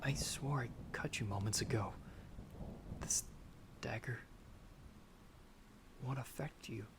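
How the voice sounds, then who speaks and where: Guy playing a scared young traveler in an audio drama, gravelly, halting and intense, slow pace, noisy storm behind. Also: medium pitch. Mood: defiant. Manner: breathless, halting, intense delivery. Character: frightened, defiant young male traveler turned half-vampire. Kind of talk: dramatic audio roleplay acting. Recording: noisy track with storm ambience.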